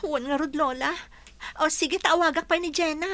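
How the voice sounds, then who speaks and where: A woman's voice: high-pitched, normal speed, fairly bright tone. A woman playing a dutiful, anxious young student teacher in an Ilocano radio drama. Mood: worried.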